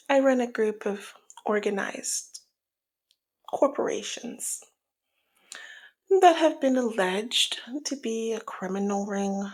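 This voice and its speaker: A woman playing a teasing, dominant mob boss in an ASMR roleplay, with a breathy, husky voice that often slips into a whisper and a slyly menacing, sultry manner. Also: on the high side, full character voice. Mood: composed, matter-of-fact. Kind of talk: ASMR roleplay audio drama.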